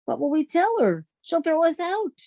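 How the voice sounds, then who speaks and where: A woman's voice: very high-pitched, sing-song, normal speed, dark tone, no echo, exaggerated voice. A woman acting in an old-time radio comedy as a sensible, theatrically exasperated young working woman with a wry manner.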